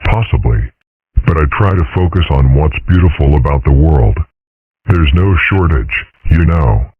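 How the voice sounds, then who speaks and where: A man doing a calm, deep-voiced dragon father. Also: husky, dry recording, heavily acted. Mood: reflective. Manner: slow, soft-spoken and reflective. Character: calm, gentle middle-aged father dragon. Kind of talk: amateur comic dub. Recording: dry, tinny phone-like recording.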